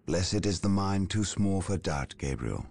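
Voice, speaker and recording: An actor playing a calm, wise male battle-priest advisor who speaks in a grave, counselling way, in a video game cutscene. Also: sits low, unhurried, creaky, full character voice. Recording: voice over orchestral game score.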